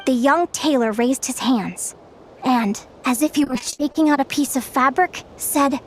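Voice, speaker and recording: An actress playing a gentle, scholarly young woman in a game, telling stories in a measured, articulate and enthusiastic way. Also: high-pitched, a little hiss or hum. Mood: storytelling, composed. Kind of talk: storytelling in an English game dub.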